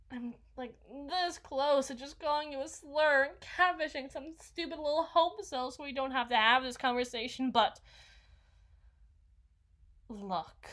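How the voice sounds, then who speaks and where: A woman's voice: on the high side, pushed and bright, full character voice. A woman playing a sulky, sarcastic young vampire shut-in in an ASMR roleplay, whining and mumbling through a one-sided conversation. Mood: exasperated, then earnest, wheedling. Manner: whiny, sarcastic, halting, dropping into mumbles and sighs. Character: sulky, sarcastic young female vampire, reclusive lazy gamer.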